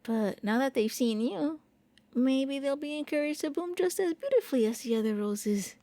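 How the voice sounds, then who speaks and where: A woman voicing a gentle, shy vampire prince in an intimate ASMR roleplay, speaking softly and a little hesitantly. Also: sits high, animated, moderate pace, no echo. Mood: shy, hopeful. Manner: gentle, hesitant, intimate, stammering when flustered. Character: gentle, shy, eager young-looking immortal vampire prince. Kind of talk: soft ASMR roleplay monologue.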